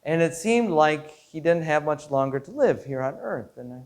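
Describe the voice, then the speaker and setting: A man, a pastor giving a devotional story in an earnest, measured way, sometimes repeating words as he goes. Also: on the high side, big pitch swings, darkish tone. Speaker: Lutheran pastor.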